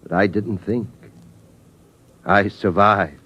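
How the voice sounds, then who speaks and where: A middle-aged father, a resolute and reflective survivor in a vintage radio drama. He is earnest and measured, pitched slightly low, on a dull old recording. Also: steady tone, music in the background. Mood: grave, matter-of-fact.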